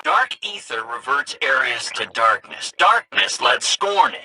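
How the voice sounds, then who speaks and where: An actor playing a casual, wry drifter in a video game, talking in an offhand, hesitant, colloquial way over a radio. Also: male voice, medium pitch, sing-song, unhurried, sharp tone, slightly rough, exaggerated voice, rough recording. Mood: offhand, wary.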